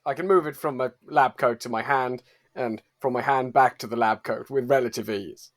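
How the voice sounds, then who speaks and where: A man's voice: mid-range voice, moderate pace, lightly acted. A man playing a matter-of-fact, loyal doctor in an improvised comedy roleplaying game.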